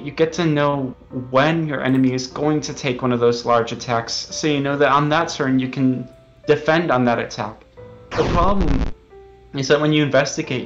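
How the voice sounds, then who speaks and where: A man's voice: mid-range voice, muffled recording. A man being interviewed as a game developer, explaining things in an earnest, hesitant way.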